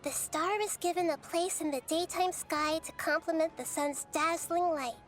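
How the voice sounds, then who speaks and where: A woman voicing a small, wise, gentle girl deity in a video game, speaking in a measured, thoughtful way. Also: very high voice, soft background music. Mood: solemn storytelling.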